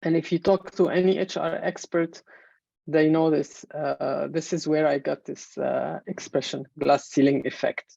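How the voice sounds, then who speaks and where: A woman's voice: sits low, fairly even intonation, gravelly, mellow, breathy edge, no room sound. Egyptian woman giving an online talk. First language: Arabic. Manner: measured, explanatory, frequent fillers. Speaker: main speaker. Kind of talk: webinar presentation. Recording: dull, muffled webinar audio.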